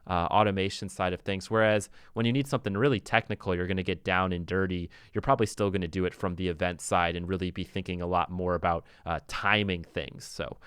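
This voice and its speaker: Low, smooth-voiced man giving a tutorial. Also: steady tone, fairly quick, few pauses, fairly bright tone, dry recording, clean studio sound. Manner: informal, thinking aloud while demonstrating. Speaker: music production tutor. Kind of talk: casual software tutorial talk.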